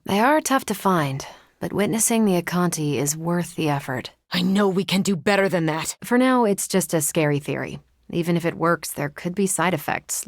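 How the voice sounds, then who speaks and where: An actress voicing a confident, wry, tough but kind superhero soldier in a video game, with an American accent and a dry, casual delivery. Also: female voice, lowish voice, dry recording, natural voice. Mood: assertive. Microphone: close, dry studio microphone.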